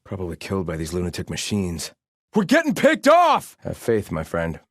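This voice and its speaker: Casting: scornful, devout desert-kingdom soldier for game barks, clipped military delivery, big pitch swings, dry close sound. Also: male voice, huge pitch swings, moderate pace, fairly bright tone, no echo, heavily acted. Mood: urgent battle shouting. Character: haughty, zealous male desert-kingdom soldier, scornful of outsiders. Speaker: video game voice actor. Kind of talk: game combat barks and ambient character lines.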